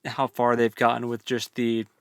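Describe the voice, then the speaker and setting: Male co-host, medium pitch, dry sound, informal conversational delivery with paraphrased asides. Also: completely flat delivery, moderate pace, clean tone, no echo. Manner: informal, acts out paraphrased lines in passing. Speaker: book discussion podcast co-host. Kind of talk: casual book chat.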